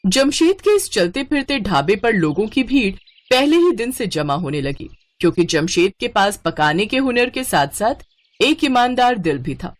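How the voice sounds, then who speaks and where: A woman's voice: medium pitch, sing-song, moderate pace. A woman reading a scripted moral story as its narrator.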